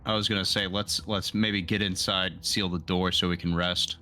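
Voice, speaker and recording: Male roleplaying player, very low pitch, bright forceful tone, casual, halting. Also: flat delivery, a little hiss or hum. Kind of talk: casual game talk, describing character actions.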